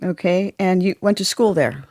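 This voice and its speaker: A woman's voice: lowish voice, moderate pace, darkish tone, no echo, clean studio sound. A woman hosting her own podcast, asking her guest questions with enthusiasm. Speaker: podcast host. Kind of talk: casual interview chat.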